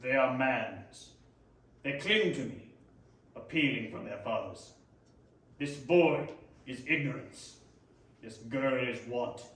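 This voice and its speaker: A man plays a solemn, dying spirit in a staged ghost story. The words come slowly and sternly, with heavy room echo and the mic some distance away. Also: mid-range voice, a bit bright. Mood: stern warning. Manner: grave, deliberate. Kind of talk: readers theater stage reading.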